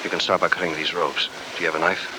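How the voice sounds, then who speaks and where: Man acting a fast-talking, low-voiced hard-boiled adventurer. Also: level tone, close to an everyday voice. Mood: cool, brisk. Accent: American. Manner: dry, terse, hard-boiled. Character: tough, wry, unflappable male adventurer hero. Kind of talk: 1940s radio drama acting and first-person narration. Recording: noisy old 1949 radio recording.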